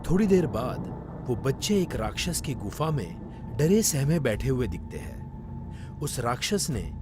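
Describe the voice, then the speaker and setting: A man's voice: medium pitch, sing-song, unhurried, background noise. A man narrating a scripted children's story as its storyteller.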